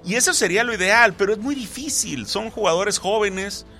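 A man's voice: high-pitched, a little fast, sharp tone, soft background music. A man co-hosting a casual football chat, explaining at length with lots of fillers and restarts. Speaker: sports talk co-host.

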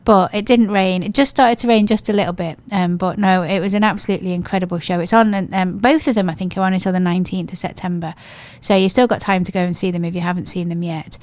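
A woman with an English accent presenting a radio show, her voice croaky and hoarse from a cold, chatting in a gushing, rambling way. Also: on the low side, steady tone, quick pace, hardly any pauses, a little mellow, no echo. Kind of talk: casual radio chat.

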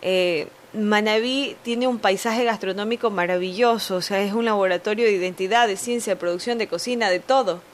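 A woman's voice: medium pitch, brisk, few pauses, slight background noise. A woman hosting a radio news program, interviewing a guest and often repeating words as she asks her questions. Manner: hesitant, repeats words when asking. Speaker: radio news show host.

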